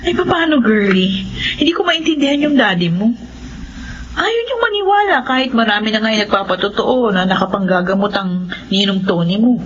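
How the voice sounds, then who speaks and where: An actress playing an earnest, devout wife and mother, expressive and conversational, in a radio horror drama. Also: medium pitch, moderate pace, lightly acted. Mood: motherly, exasperated. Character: earnest believing wife and doting, anxious mother. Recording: dull lo-fi audio, faint noise.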